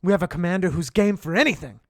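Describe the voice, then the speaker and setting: A man voicing an eager, excitable middle-aged scientist who speaks in an urgent, animated way. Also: fast, dry recording, studio quality, lightly acted. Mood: excited. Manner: urgent, animated, rising pitch.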